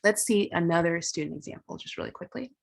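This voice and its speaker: A woman's voice: on the low side, fast talker, gravelly. Woman presenting at a teaching workshop. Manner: casual, thinking aloud with fillers and restarts.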